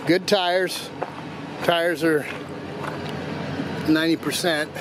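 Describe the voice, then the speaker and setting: A man's voice: on the high side, steady tone, slow. An older man giving a plainspoken, easygoing walkaround of a vehicle for sale as an auctioneer, filmed outdoors and in the cab on a handheld phone. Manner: easygoing, plainspoken, with fillers and restarts. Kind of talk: casual walkaround product talk. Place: outdoors in a gravel lot and inside a pickup cab. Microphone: handheld phone camera. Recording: noisy outdoor phone recording.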